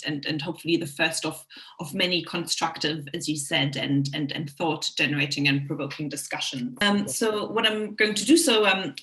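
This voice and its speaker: Bright-voiced low-pitched woman presenting. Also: poor audio. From Germany and France. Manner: hesitant, repeats words as she goes. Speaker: academic researcher, keynote speaker. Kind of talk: conference presentation. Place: small room at home with bookshelves. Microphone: video call.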